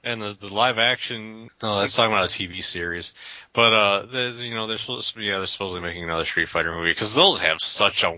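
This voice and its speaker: Guy with a low voice but a really sharp edge, sarcastic, blunt takes, casual podcast chat. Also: quick pace, no room sound, low-quality recording. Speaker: podcast co-host.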